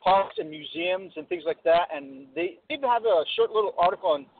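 A man's high voice comes through a dry, narrow phone line. His pitch swings about as he chats casually and jokily as a radio co-host. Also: very animated, a little fast, creaky, breathy edge. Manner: jokey, acts out quotes. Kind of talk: casual chat.

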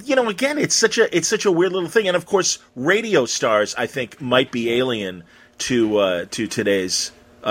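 A man hosting a casual conversational interview, feeling his way through questions with halting, circling phrasing. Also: a little high, fairly bright tone, breathy edge. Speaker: interviewer, host.

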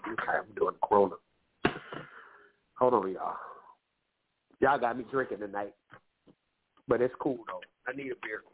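A man's voice: fairly low pitch, normal speed, long pauses, a little hiss or hum. Profane male radio host on a tinny line. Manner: loose, profane, preachy advice to listeners. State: nasal and wheezy from allergies. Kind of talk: casual call-in radio advice talk. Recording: phone-like lo-fi line.

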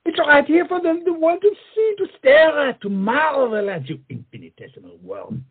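A man's voice: extremely high pitch, sing-song, moderate pace, full character voice. An actor playing a pompous, witty swordsman in a comic stage play, theatrical and mocking. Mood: indignant, scornful. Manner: theatrical, mocking, peppers speech with French phrases. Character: pompous, flamboyant big-nosed French swordsman, witty and proud. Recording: muffled, tinny stage play recording.

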